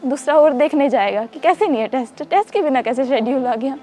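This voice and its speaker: A woman's voice: high voice, brisk, mellow, faint music behind. A young woman from a village in Kaithal district, a student, chatting cheerfully in an interview. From Kaithal district, Haryana. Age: young adult. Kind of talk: casual interview.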